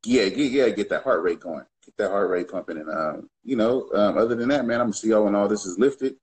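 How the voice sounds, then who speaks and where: A man in his thirties from the US West Coast, a music producer guest talking in a relaxed, slangy way over a webcam from his home studio. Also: lowish voice, a little fast, rough recording. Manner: laid-back, slangy, relaxed. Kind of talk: casual livestream interview. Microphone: webcam on a video call.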